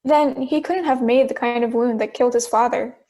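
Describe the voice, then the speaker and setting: A woman's voice: fairly high pitch, fairly quick, warm tone, natural voice. A woman playing a persistent, logical juror with a pointed, questioning manner in an amateur audio drama. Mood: pressing. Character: persistent, logical juror who challenges the others.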